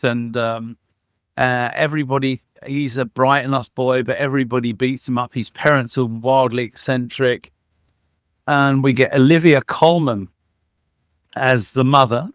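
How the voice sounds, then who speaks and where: A man of middling pitch talks as a guest in an interview, rambling through his stories with plenty of false starts and fillers. He sounds eager and enthusiastic, and his voice comes across close and dry, with no room sound. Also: a bit bright, no room sound. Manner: rambling, enthusiastic storytelling with many restarts and fillers. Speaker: guest, sound engineer and dubbing supervisor. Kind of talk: casual interview conversation.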